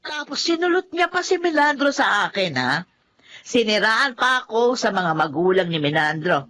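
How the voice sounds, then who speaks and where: A woman's voice: medium pitch, very animated, moderate pace, pushed and bright. An actress playing a feisty, grudge-holding older woman in a TV melodrama, with an indignant, combative manner. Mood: bitter, aggrieved. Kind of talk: television melodrama acting.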